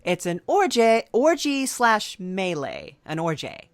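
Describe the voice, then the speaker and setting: A woman hosting a comedy podcast, playing along with a halting, filler-heavy manner. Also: medium pitch, sing-song, no echo. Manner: hesitant, many fillers, playing along with mock seriousness. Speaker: podcast host. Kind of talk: improvised comedy interview.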